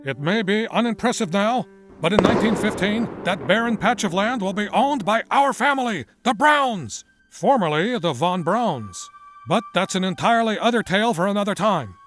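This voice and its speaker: Eccentric inventor dad, high voice, wordy theatrical speeches, bit of music under it. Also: male voice, animated, moderate pace, low-quality recording. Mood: grandiose, rambling. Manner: verbose, digressive, grandiose. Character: eccentric excitable middle-aged male inventor, rambling father. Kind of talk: motion comic voice acting.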